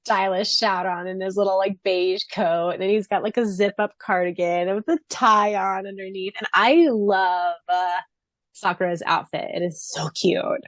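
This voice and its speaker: A woman's voice: medium pitch, fairly even intonation, normal speed, no echo, studio quality. A woman co-hosting a podcast, chatting casually in a gushing, giggly way. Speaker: podcast co-host. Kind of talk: casual chat about a fan fiction.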